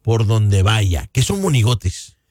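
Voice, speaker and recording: A man's voice: fairly low pitch, big pitch swings, takes it slowly, darkish tone, no echo. A man co-hosting live radio with casual, playful banter, recorded close and dry. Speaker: radio co-host.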